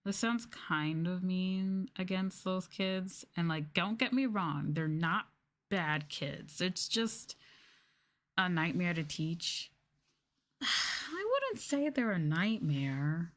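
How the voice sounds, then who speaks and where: A young adult woman chatting casually and softly as an ASMR streamer, candid and mildly sweary. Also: fairly low pitch, expressive, slow. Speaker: VTuber streamer. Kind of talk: soft-spoken ASMR casual chat.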